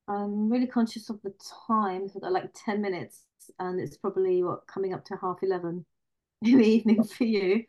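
A woman's voice: mid-range voice, fairly even intonation, moderate pace, darkish tone, rough recording. A woman interviewing someone in a casual, conversational style.

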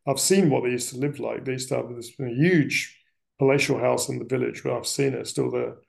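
A middle-aged man telling family history in a reflective, conversational interview style, often pausing and circling back mid-phrase. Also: medium pitch, creaky. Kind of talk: reflective personal storytelling in casual conversation.

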